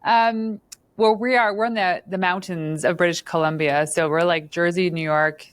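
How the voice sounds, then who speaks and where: A woman from Northern Ontario hosting an interview podcast, chatty and quick to laugh, with plenty of fillers. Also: on the low side, steady tone, dry recording. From Northern Ontario, Canada. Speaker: podcast host. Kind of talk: casual interview chat.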